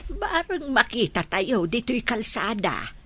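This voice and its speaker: A woman's voice: a little high, very animated, slow pace, fairly bright tone, a little hiss or hum, exaggerated voice. A woman playing a confused, forgetful old grandmother in an audio drama, rambling and insistent. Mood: confused, wistful.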